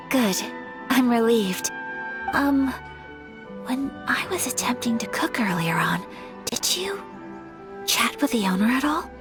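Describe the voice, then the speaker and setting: A woman's voice: on the high side, expressive, takes it slowly, pauses often. A young woman voicing a gracious, shy noblewoman in a video game, formal and polite in speech. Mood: wistful. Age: young adult. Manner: formal, polite, soft-spoken. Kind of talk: video game cutscene dialogue. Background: game music.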